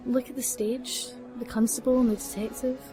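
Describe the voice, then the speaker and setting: A woman's voice: a little high, flat delivery, normal speed, close to an everyday voice. A woman playing an observant, suspicious member of a theatre company in an audio drama. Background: music.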